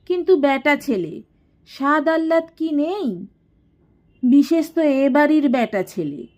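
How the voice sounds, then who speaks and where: A woman's voice: sits high, expressive, slow, darkish tone, pitched above the performer's own voice. A woman voicing a haughty, scheming older matriarch in an audio story, imperious and sarcastic. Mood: sly, insinuating. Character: haughty, scheming, domineering elder matriarch of a declining household.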